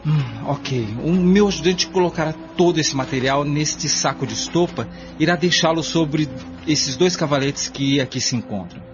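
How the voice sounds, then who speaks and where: A man's voice: a little high, moderate pace, over music, lightly acted. An actor playing an earnest, courteous man who leads a cellar dig in a radio drama, speaking formally with some hesitation. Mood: businesslike. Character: earnest male neighbor leading a torchlit cellar dig. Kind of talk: amateur radio drama acting. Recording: muffled, clearly noisy radio drama recording.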